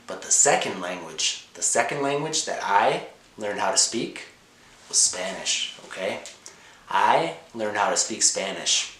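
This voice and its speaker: A man's voice: on the low side, animated, measured pace, fairly bright tone. An American man teaching language learners, repeating simple phrases, often checking with "okay?" and sometimes switching between English and Spanish. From Indiana, United States. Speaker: language teacher, channel host. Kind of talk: slow comprehensible-input lesson for learners. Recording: echoey room with noticeable background noise.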